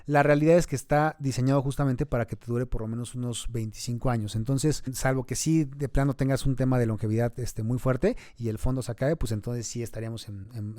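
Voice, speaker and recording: A man's voice: mid-range voice, dark tone, no echo, studio quality. A Mexican man in his thirties who works as a financial advisor, explaining retirement savings in an interview in an earnest, advising way and building to emphatic points. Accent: Mexican Spanish. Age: 30s.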